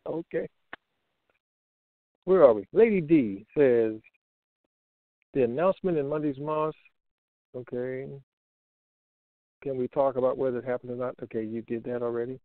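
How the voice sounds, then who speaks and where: A man's voice: mid-range voice, normal speed, pauses often, no echo. Male call co-host, mid-range voice, casually moderating questions on a dry, lo-fi phone line. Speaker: co-host reading out listener questions. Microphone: phone conference line. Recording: dull lo-fi conference call line.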